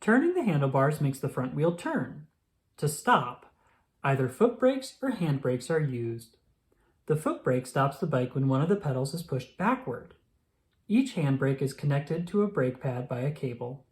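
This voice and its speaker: A narrator reading a children's picture book aloud in a clearly enunciated, instructional storytelling manner. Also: mid-range voice, big pitch swings, moderate pace.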